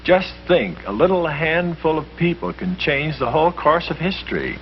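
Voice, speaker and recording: Earnest, animated American man, muffled old film. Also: fairly high pitch, normal speed, slight background noise. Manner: earnest, rhetorical. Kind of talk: earnest persuasive address.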